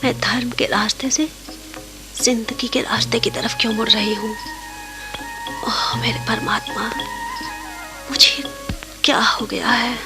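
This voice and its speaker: A woman's voice: on the high side, steady tone, a little slow, long pauses. An actress playing a young, devout monastery woman tormented by forbidden love in a radio play, with dramatic, intimate delivery. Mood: anguished, torn. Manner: dramatic, breathy introspective asides and coy dialogue. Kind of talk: radio play acting with confessional monologues. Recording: radio play with background music.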